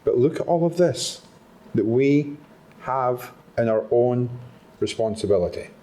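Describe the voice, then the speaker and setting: Scottish man giving an animated talk. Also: medium pitch, a little slow. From Scotland. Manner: animated, asks the audience rhetorical questions. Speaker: speaker at a patient summit. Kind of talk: motivational talk to a live audience. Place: conference room with a live audience.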